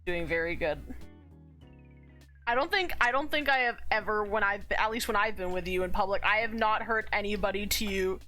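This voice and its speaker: A woman's voice: mid-range voice, fairly quick, bright, forceful tone, faint music behind. A young woman streaming and chatting casually, playful and laughing, with the odd swear. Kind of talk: casual chat.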